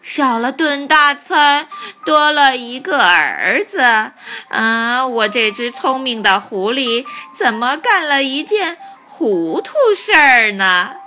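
Woman playing a slow, hesitant storybook fox. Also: high voice, lively intonation, full character voice. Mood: musing, bemused. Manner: hesitant, muttering to himself. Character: hapless male fox, greedy but soft-hearted. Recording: soft music behind the voice.

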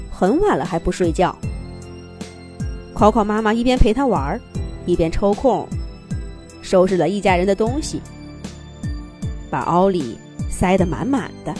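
A woman's voice: mid-range voice, mellow. A woman narrating a children's fairy tale expressively from a script, as a storyteller.

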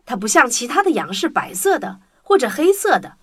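A woman's voice: high voice, big pitch swings, fairly quick, fairly bright tone, slightly rough. A woman narrating a children's storybook tale in a scripted storytelling style.

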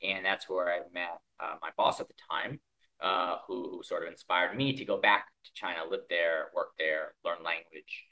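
A man's voice: low-pitched, fairly even intonation, normal speed, sharp tone, dull audio. A man from Connecticut with an American accent, casually telling his own story on a podcast. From Connecticut, United States. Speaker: podcast co-host.

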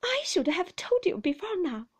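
A woman's voice: very high-pitched, big pitch swings, moderate pace, pitched above the performer's own voice. A woman voicing a kindly, flustered nursemaid in a storybook, breathless, hesitant and soothing. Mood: apologetic, hesitant. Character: kindly, flustered, fond nursemaid breaking awkward news.

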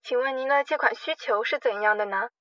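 A woman playing a smooth-talking, scheming loan company manager with polite, formal sales patter in an amateur radio drama. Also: on the high side, a little fast, a bit bright, no echo, dull audio, lightly acted. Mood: polite, salesy.

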